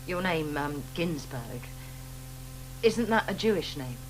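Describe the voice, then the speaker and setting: Nosy guest, low-ish voice, faint hum behind. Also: female voice, lively intonation, slow, rough recording. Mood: inquisitive. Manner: probing, inquisitive. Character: inquisitive woman at a gathering. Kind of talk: radio drama acting.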